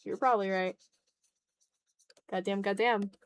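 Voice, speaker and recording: A woman's voice: medium pitch, steady tone, measured pace, clear, resonant tone. A woman chatting casually on a livestream as she draws, slangy and playful, talking half to herself and half to viewers. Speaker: streamer, digital artist. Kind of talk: casual livestream chat while drawing.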